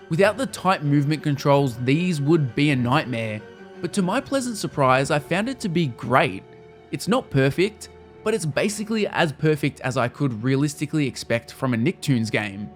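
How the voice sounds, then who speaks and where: Man, slightly high pitch, scripted review narration, wry, casual, faint music behind. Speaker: video reviewer. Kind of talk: scripted video essay narration.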